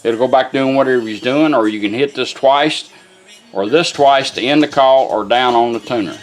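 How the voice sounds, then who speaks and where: A man's voice: mid-range voice, moderate pace, soft background music. A man giving a casual, informal hands-on product demonstration in a folksy way. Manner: folksy, informal, talks to the gadget.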